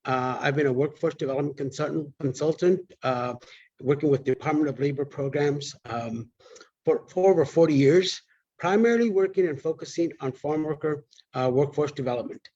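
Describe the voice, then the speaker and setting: A man's voice: on the high side, a little fast, darkish tone, husky, lo-fi sound. An older man from the United States, a community organizer introducing himself unscripted on a panel.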